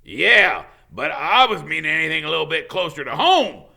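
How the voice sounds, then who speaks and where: Guy doing a burly gruff gamekeeper from a storybook, wary but kind, stumbles over words, forceful, up and down a lot. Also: on the high side, very animated, normal speed, pitched above the performer's own voice, heavily acted. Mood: impatient. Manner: folksy, hesitant, ungrammatical speech.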